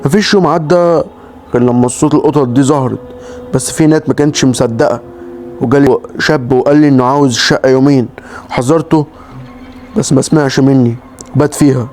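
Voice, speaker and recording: A man with an Egyptian Arabic accent voicing a weary older male flat broker who talks in a resigned, fatherly way. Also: medium pitch, quick pace, a little hiss or hum, close to an everyday voice. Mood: grave.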